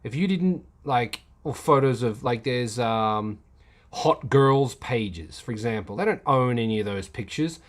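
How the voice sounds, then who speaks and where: A man's voice: medium pitch, lively intonation, measured pace. A man hosting a casual livestream, rambling and answering chat comments, with many fillers and restarts. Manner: reads and replies to chat comments.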